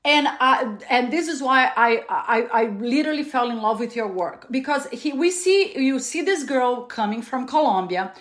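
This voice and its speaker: A woman, hosting a casual podcast interview in an animated way and laughing often. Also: sits high, normal speed, hardly any pauses. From Latin America. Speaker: podcast host.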